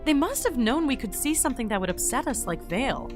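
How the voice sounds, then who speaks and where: A woman's voice: sits high, music in the background. A woman playing an earnest, anxious young entity in an audio drama, talkative and flustered. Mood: upset, puzzled. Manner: flustered, expressive, halting.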